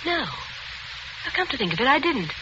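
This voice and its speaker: A woman's voice: sits high, sing-song, measured pace. An actress playing an earnest, anxious young woman, a bewildered witness in a 1940s radio drama. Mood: hesitant recall. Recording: noisy muffled 1940s radio recording.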